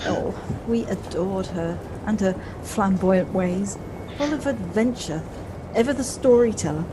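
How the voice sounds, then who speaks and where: A woman's voice: medium pitch, unhurried, lightly acted. A woman acting a flustered, wistful middle-aged woman in an audio play, with hesitant, reflective speech that repeats words. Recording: noisy home recording on personal device.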